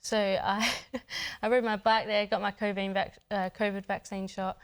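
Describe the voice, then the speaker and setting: A woman's voice: medium pitch, little pitch movement, moderate pace, clear, resonant tone. A woman, a footballer guest chatting casually in an interview, hesitant with many fillers and light laughs. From Australia.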